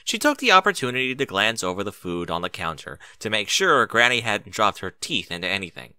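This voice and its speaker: A man reading a story aloud as an expressive narrator. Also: on the low side, brisk, clean tone, no room sound, clean studio sound. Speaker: narrator of a fan fiction reading.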